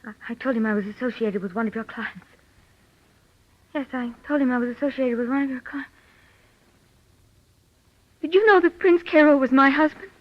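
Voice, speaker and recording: A woman playing a tense, distracted betrayed wife in an old radio drama, stiff and halting, repeating herself. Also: sits high. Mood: dazed, stiff. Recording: dull muffled vintage radio recording.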